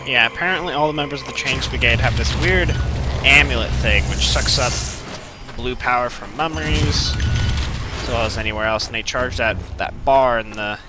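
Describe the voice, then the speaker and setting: A man's voice: medium pitch, moderate pace, over music. A man giving casual, rambling let's play commentary with playful asides. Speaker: gaming video host. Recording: game gunfire and ambience behind voice. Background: game gunfire and effects.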